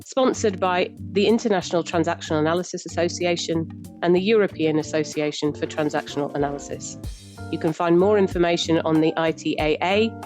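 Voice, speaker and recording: A woman reading a scripted sponsor announcement slowly and precisely. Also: fairly low pitch, darkish tone, soft background music.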